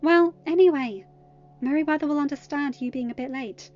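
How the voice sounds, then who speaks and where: Woman voicing a high-pitched, reassuring young friend. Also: moderate pace, darkish tone, highs cut off, faint music behind, natural voice. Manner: questioning, reassuring. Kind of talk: audiobook character dialogue.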